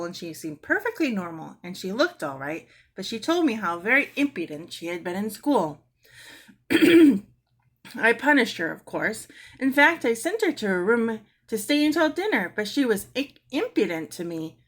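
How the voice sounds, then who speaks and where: A woman's voice: mid-range voice, very animated, moderate pace, fairly bright tone, slightly rough, pitched above the performer's own voice. A woman voicing a fretful, exasperated mother in a storybook, with an emphatic, complaining manner. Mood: worried, upset.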